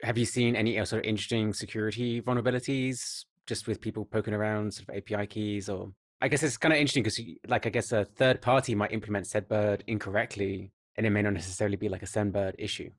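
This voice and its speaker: Male podcast interviewer, quick delivery, slightly low voice, informal and tentative in how questions are put. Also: steady tone, dry recording, clean studio sound. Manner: loosely phrased, hedging questions. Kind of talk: casual technical interview questions.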